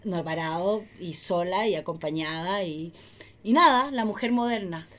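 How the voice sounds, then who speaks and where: A woman with a Chilean accent chatting casually and bawdily as a comedian guest, playful and animated, often repeating her phrases. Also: fairly low pitch, normal speed, slight background noise. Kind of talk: casual, bawdy chat.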